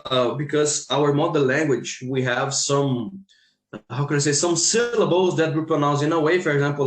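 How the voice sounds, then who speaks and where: A man from Brazil with a Brazilian accent and Portuguese as his native language, talking informally as an English teacher in a halting, repetitive way. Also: a little high, fairly bright tone. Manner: halting, repeats phrases. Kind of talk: informal interview discussion. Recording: roomy, echoing sound.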